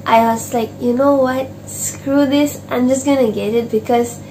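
High-voiced woman, slightly hoarse. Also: fairly even intonation, measured pace, warm tone, slight background noise, poor audio. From Malaysia. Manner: chatty and rambling, many fillers and restarts. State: voice going hoarse, clearing throat. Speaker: stationery vlogger. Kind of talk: casual unboxing chat to camera. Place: small home office with desk and shelves, indoors.

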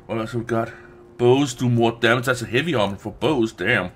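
A man streams a game with casual, off-the-cuff commentary. His voice is mid-pitched and bright, and he mutters in short bursts over faint noise. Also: a little fast. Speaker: let's play streamer.

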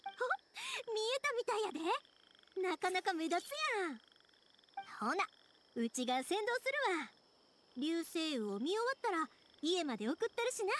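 A woman playing a cheerful, kind-hearted young woman in an anime game, with a Kansai accent and an expressive, informal manner. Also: extremely high pitch, a little hiss or hum, exaggerated voice. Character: cheerful, kind-hearted young woman, big-sisterly fireworks-maker type.

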